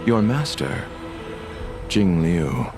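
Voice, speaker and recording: A solemn, reflective figure from a video game. His voice sits very low and moves slowly, grave and formal as he recounts old tales. Clear music plays behind him. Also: sing-song, exaggerated voice.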